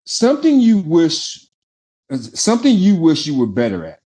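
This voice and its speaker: A man's voice: on the high side, huge pitch swings, normal speed, dark tone, breathy edge. A man hosting a podcast interview, enthusiastic in a casual way, often stammering and repeating his words. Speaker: podcast host.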